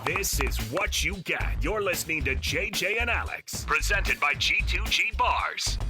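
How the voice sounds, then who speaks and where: A man's voice: high-pitched, animated, unhurried. A man reading a produced radio station promo as an imaging announcer, with punchy announcer delivery. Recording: produced promo over loud music. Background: loud music bed.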